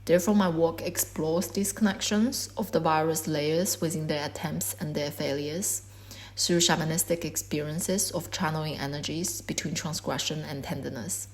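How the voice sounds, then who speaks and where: A woman in her twenties whose first language is Korean gives a measured, reflective talk about her art. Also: sits low, fairly even intonation. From China. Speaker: artist. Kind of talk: prepared artist talk.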